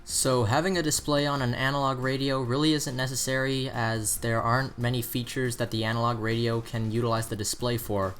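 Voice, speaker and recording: A man's voice: medium pitch, flat delivery, a little fast, hardly any pauses, fairly bright tone. A man presenting an explanatory buying guide in the style of a product review narration.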